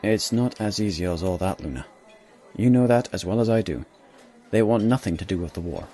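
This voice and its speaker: Man as a husky, cautious stallion ambassador. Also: fairly low pitch, warm tone, close to an everyday voice. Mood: reserved. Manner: measured, wary. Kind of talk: audio drama acting.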